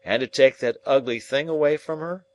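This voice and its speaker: A man's voice: mid-range voice, normal speed, voice pushed up, natural voice. A man voicing a delirious, terrified man who pleads and screams at a ghost he believes he sees. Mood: weak, clinging.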